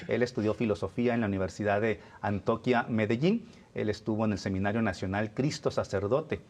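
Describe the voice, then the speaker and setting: A man presenting a religious program in a conversational way, addressing his guests and viewers directly. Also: fairly low pitch.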